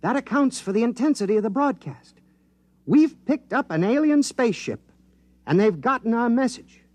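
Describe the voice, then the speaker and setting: An actor playing an eager, absent-minded government scientist in a 1950s radio drama, explaining things in an animated way. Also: male voice, very high voice, moderate pace, no room sound. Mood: excited.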